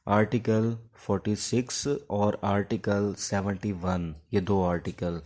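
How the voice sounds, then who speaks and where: Man, Pakistani accent, lowish flat voice, soft mellow tone, law lecturer, halting exam-prep delivery. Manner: halting, instructive, with pauses between phrases. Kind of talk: informal exam-prep lecture with English legal terms.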